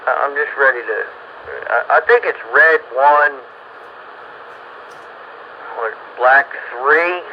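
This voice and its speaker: A man talking casually over a radio, halting and repeating words as he asks for help as a hobbyist. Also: mid-range voice. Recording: muffled noisy two-way radio.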